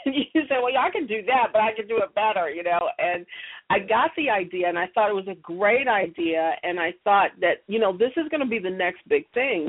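A woman telling stories casually as an interview guest, heard over a tinny telephone line. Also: medium pitch. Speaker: interview guest, audio drama producer. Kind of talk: casual conversational storytelling.

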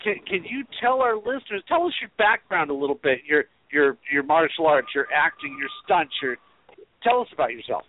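A man hosting a talk radio interview, speaking haltingly and repeating words as he goes. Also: fairly high pitch, lively intonation, a little hiss or hum. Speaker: radio show host. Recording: tinny, phone-like radio audio.

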